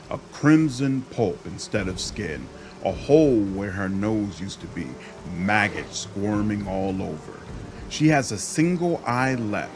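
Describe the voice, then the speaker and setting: Male game narrator, slow delivery, creaky fry, expressive storytelling, music underneath. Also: on the low side. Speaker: gaming video creator and narrator. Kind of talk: expressive reading of game text. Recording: music behind the voice.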